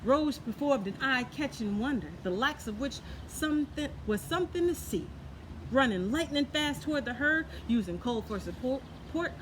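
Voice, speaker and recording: A woman reading a children's tall tale aloud in an expressive, folksy way, adding her own asides. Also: high-pitched, a little hiss or hum, poor audio. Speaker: storyteller. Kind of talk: children's story read-aloud.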